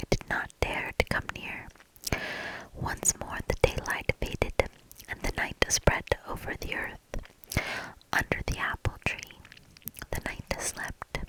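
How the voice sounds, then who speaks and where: A woman whispering a fairy tale as ASMR, soft-spoken and deliberate, with measured storybook phrasing. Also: low-quality recording.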